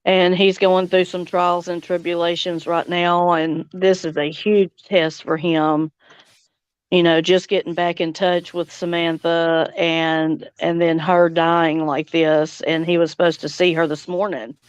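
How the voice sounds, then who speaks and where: A woman's voice: lowish voice, flat delivery, measured pace, clean tone, dry recording, muffled recording. A woman hosting a casual live chat stream, talking informally with her guests.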